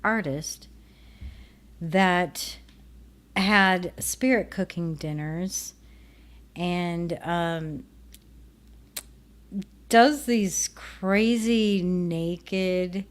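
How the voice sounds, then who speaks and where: A woman's voice: fairly low pitch, extremely slow, long pauses. A woman with a Minnesotan accent hosting a show, talking casually to her viewers in a rambling way that trails off. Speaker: show host.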